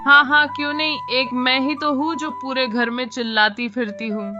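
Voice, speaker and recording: A woman's voice: high voice, fairly even intonation, moderate pace, close to an everyday voice. A woman voicing a fretful, nagging village wife and mother in a cartoon. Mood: sarcastic. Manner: nagging, anxious. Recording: slightly muffled, music behind.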